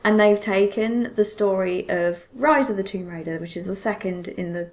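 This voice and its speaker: A woman's voice: medium pitch, normal speed, a little mellow. A woman hosting a podcast in casual chat, telling things expressively with frequent self-interruptions and exclamations. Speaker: podcast host.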